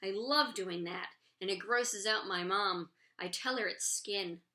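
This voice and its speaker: A woman voicing an excitable, quirky schoolboy in a storybook, earnest and exuberant. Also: mid-range voice, measured pace. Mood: excited. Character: excitable, quirky schoolboy who loves tape and glue.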